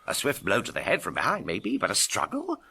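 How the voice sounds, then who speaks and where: An actor playing a breezy, cocky British adventurer sleuth in a tongue-in-cheek golden-age detective drama, jaunty and teasing. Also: male voice, on the low side, huge pitch swings, fairly quick, vocal fry, bright, forceful tone, husky, exaggerated voice. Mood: deductive, emphatic.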